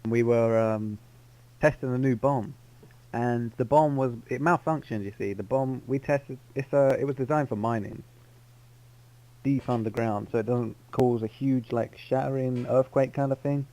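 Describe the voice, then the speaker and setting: A man's voice: medium pitch, steady tone, normal speed, warm tone, low-quality recording. Man rambling through an in-character story. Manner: rambling, self-correcting storyteller. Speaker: roleplay player. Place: online game voice chat.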